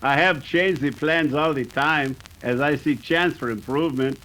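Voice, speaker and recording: Smug Mexican bandit chief, higher-pitched. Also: male voice, moderate pace, sharp tone, rough recording. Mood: smug gloating. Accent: put-on Mexican. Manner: oily, gloating chuckles, formal phrasing. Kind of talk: 1930s western radio acting.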